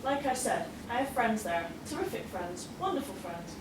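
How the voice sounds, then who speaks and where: A woman's voice: a little high, very animated, moderate pace. Woman playing an inquisitive pony, distant and echoey. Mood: earnest. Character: earnest, inquisitive young female pony newcomer. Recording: distant, echoey, noisy convention room.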